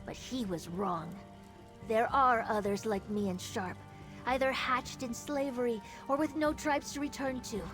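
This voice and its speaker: A woman's voice: fairly high pitch, a little slow, fairly bright tone. A woman voicing an earnest, reflective reptilian tribeswoman, a freed slave, in a video game. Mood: hopeful, proud. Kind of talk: video game character dialogue. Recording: game music under the voice.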